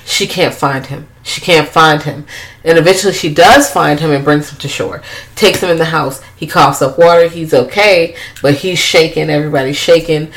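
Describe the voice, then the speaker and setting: A woman's voice: sits low, normal speed, rough recording. A woman co-hosting a casual chat, recapping a movie plot in a rambling, profane way. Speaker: podcast co-host.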